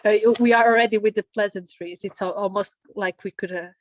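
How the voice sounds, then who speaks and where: A woman's voice: medium pitch, steady tone, a little fast, no echo. Italian woman moderating, dry call sound. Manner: warm, chatty, apologetic introductions with fillers. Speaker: conference moderator. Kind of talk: moderating an online session. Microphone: video call. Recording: dry, dull video call audio.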